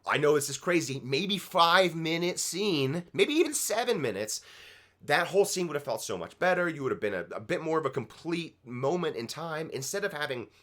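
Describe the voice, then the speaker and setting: A man's voice: a little high, very animated, a little fast, a bit bright. A man co-hosting a TV reaction show, giving casual, opinionated commentary in an emphatic, exasperated manner. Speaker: co-host of a TV episode reaction show. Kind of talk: casual opinionated TV review chat.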